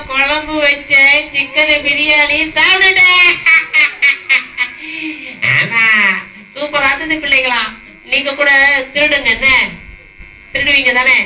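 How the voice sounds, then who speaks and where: Brief: mischievous boy puppet played by a woman, higher voice, taunting, far from the mic with noise. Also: pushed and bright, heavily acted. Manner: teasing, mischievous, laughing. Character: naughty boy Tinku, cheeky chicken thief. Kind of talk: children's puppet show. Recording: echoey hall, far mic, noisy.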